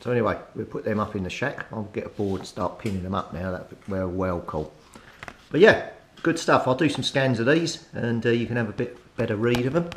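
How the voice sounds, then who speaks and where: Low-voiced British man, casual and informal. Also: brisk. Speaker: hobbyist video host. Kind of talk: casual how-to vlog commentary, thinking aloud. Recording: steady background noise with low rumble.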